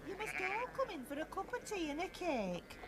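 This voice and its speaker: A woman's voice: very high-pitched, sing-song, moderate pace, noisy background. A woman voicing a hospitable, fussing farmer's wife in a children's cartoon. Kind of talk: children's cartoon character acting.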